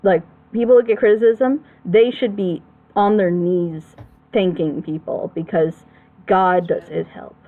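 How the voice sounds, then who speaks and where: A woman's voice: lowish voice, fairly even intonation, unhurried. Female reaction host, emphatic and rambling, casual delivery, phone-like narrow sound. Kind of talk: casual chat, opinionated commentary.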